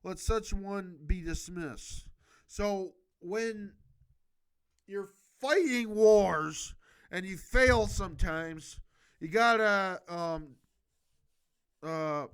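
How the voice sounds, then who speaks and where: Amateur male reader, high register, slow halting delivery, self-correcting, breaks into emphatic off-the-cuff advice. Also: fairly bright tone, clear, resonant tone. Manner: stumbles over words and corrects himself; emphatic, exhorting. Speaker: amateur video host reading a book aloud. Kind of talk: halting read-aloud with improvised casual commentary.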